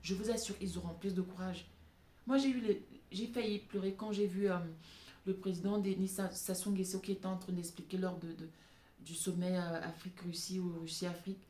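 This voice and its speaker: A woman's voice: lowish voice, steady tone, a little fast, dark tone. Emphatic woman in an echoey room. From francophone Africa. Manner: emphatic, repeats phrases for stress. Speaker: vlogger addressing African women. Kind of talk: impassioned off-the-cuff opinion monologue. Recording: echoey room with background noise.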